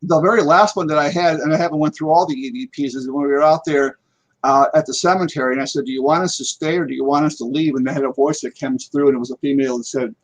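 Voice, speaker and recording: A man's voice: on the high side, fast talker, dull audio. A man in casual conversation as a guest paranormal investigator on a live talk show, rambling and repeating words as he goes.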